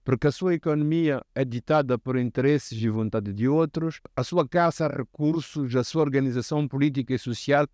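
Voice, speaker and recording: A man's voice: medium pitch, a little fast, few pauses, darkish tone, no echo, studio quality. A man with a Mozambican accent hosting a reflective interview, talking in a discursive, professorial way. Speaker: host.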